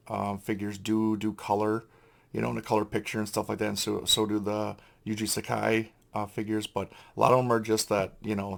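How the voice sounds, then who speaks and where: A young man, hoarse from losing his voice, hosting a casual unboxing review with many fillers and throat clearing. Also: on the low side. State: hoarse, lost voice. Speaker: toy collector channel host.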